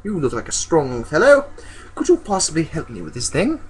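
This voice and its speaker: A man's voice: fairly high pitch, huge pitch swings, fairly quick, a little hiss or hum, low-quality recording. A man voicing a small, busy, polite rat-man writer in a theatrical story reading. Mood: brisk, asking.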